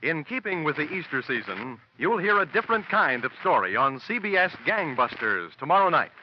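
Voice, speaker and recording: A man's voice: on the high side, very animated, fairly quick, loud music behind the voice. A man with an American accent reading a scripted promo as a 1940s radio network announcer. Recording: muffled vintage radio with hiss.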